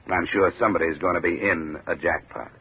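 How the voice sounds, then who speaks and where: A man's voice: deep voice, fairly even intonation, quick pace, a little hiss or hum. A man playing a tough, sardonic insurance investigator in a 1950 radio detective drama, with a clipped, wisecracking delivery. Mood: sardonic, confrontational. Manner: wisecracking, hard-boiled, clipped. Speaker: lead actor and narrator. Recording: tinny old 1950 radio recording.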